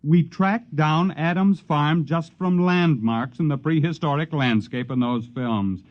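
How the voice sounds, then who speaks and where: A man's voice: fairly high pitch, measured pace, dry recording, close to an everyday voice. An actor playing an earnest, insistent male researcher in a 1950s radio drama. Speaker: Les, project advocate. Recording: dry lo-fi 1950s radio broadcast.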